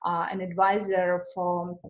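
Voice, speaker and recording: A woman's voice: fairly low pitch, monotone, a little slow, dull audio. A woman from Russia who speaks, answering interview questions in a hesitant way, with many fillers and restarts. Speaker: interview guest. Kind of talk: conversational interview.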